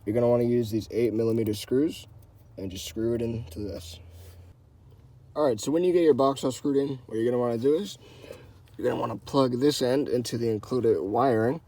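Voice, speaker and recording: A man's voice: mid-range voice, fairly quick, mellow. A man hosting a how-to video, talking casually and informally as he explains each step with repeated instructional phrases. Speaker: host of a how-to car channel. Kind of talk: casual hands-on tutorial talk.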